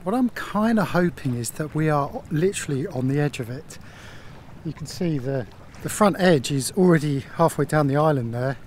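A man's voice: fairly high pitch, normal speed, a little hiss or hum. A man in his fifties chatting casually to camera outdoors on a sailboat deck, wearing a clip-on mic. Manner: relaxed, chatty commentary. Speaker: sailing vlogger. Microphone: small clip-on mic.

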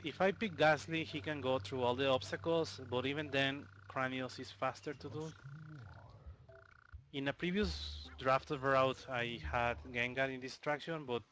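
Man, Mexican accent, bright voice, chatty speedrun commentary, home mic. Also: medium pitch, steady tone, moderate pace. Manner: explains while playing, loose and chatty, with fillers.

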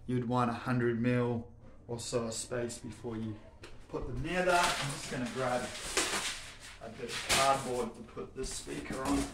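A man speaks at an average pitch, presenting a product in an informal, enthusiastic way. He explains things casually, as a showroom host would. The recording is echoey, with the sound of a reverberant indoor room around his voice. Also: fairly even intonation, a little slow, noisy background. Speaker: home cinema showroom presenter. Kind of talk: casual product unboxing commentary.

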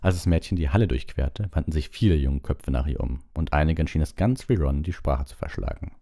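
Very dark, deep-voiced male storyteller. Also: fairly quick. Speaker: audiobook narrator. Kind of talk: scripted storybook narration.

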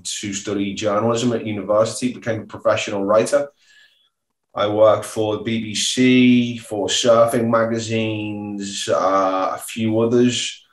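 A man tells personal stories as a podcast guest. His voice is low and very even in pitch, picked up on a roomy, echoing video call. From Merseyside, northwest England. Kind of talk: relaxed personal storytelling. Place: indoor living room, seated back from the screen.

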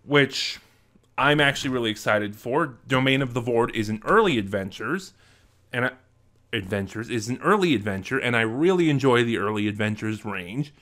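A man's voice: mid-range voice, lively intonation, moderate pace, fairly bright tone. A young adult man hosting a casual vlog, talking chattily and conversationally into a desk microphone in a room at home. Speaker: vlog host. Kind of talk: casual unboxing and review vlog talk. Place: small home room, indoors. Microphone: desk microphone close in front.

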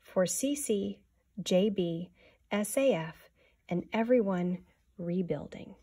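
A woman in her thirties, a teacher giving an expressive, deliberate read-aloud of a children's picture book. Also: medium pitch, breathy edge.

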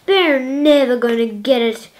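A man's voice: very high-pitched, unhurried. A young boy voicing a boastful masked thief villain in a toy play scene, gloating and taunting.

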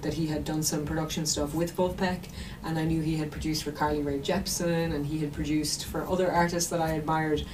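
An Irish woman musician telling stories in an interview with enthusiasm and detail, over a video call. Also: sits low. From Ireland. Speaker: musician guest. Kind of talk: interview conversation. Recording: distant mic with background noise.

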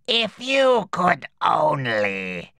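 An actor playing an oily, scheming merchant in a video game, greedy and obsequious in manner. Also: male voice, a little high, wildly swooping pitch, extremely slow, vocal fry, sharp tone, dry recording, shouting, studio quality, full character voice. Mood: ingratiating. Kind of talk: video game cutscene acting.

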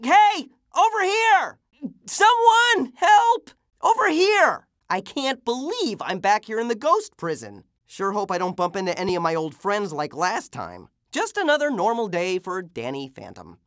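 A man's voice: high voice, very animated, unhurried, fairly bright tone, no echo, clean studio sound, full character voice. A man voicing a plucky, wisecracking teenage half-ghost boy superhero with a youthful voice for a video game. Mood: urgent, calling out. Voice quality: youthful, boyish. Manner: exclamatory, wisecracking. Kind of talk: video game character voice clips.

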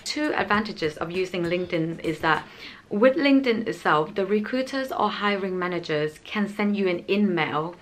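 A woman's voice: fairly low pitch, fairly bright tone, a little hiss or hum. A young woman from Thailand, hosting an advice vlog in an earnest, explanatory way, speaking to camera at home with small grammar slips. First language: Thai. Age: young adult. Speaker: channel host. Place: indoor home room, small. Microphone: camera framing, head and shoulders.

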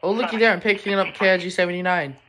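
A person talking informally and haltingly in a casual show-and-tell vlog, filmed on a handheld phone indoors at home. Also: mid-range voice, steady tone. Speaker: collector presenting weather radios. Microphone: handheld phone camera. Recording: noisy handheld recording with clicks.

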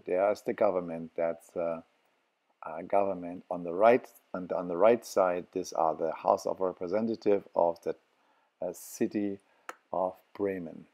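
Slow, deep-voiced man with halting speech. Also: mellow. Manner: halting, many fillers and restarts. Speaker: travel vlogger. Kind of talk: walking tour narration.

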